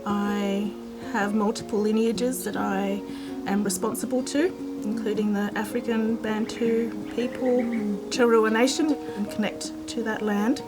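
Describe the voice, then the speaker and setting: A woman from Uruguay, a textile artist, speaking in a thoughtful, measured way with pauses. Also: on the high side, steady tone. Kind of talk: reflective interview. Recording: music bed under voice.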